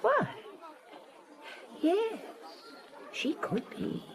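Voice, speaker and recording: A woman's voice: extremely high pitch, big pitch swings, very slow, crowd noise, heavily acted. An actress playing an inquisitive, chatty middle-aged detective's wife in a radio drama, musing as she goes. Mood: curious, musing. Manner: musing, speculating, gently inquisitive.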